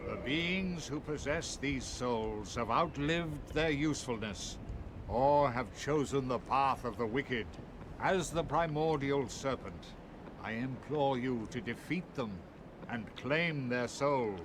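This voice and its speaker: An actor voicing an ancient, scheming serpent counselor in a fantasy game, speaking with grave, formal persuasion. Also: male voice, mid-range voice, takes it slowly, background noise, natural voice. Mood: solemn, urging. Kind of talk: theatrical fantasy video game acting.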